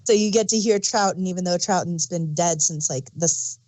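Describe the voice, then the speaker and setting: A woman's voice: lowish voice, a little fast, a little mellow, no room sound, poor audio. A woman chatting casually as a podcast host, explaining background details like an enthusiastic fan. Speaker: audio drama review podcast host. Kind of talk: casual review chat.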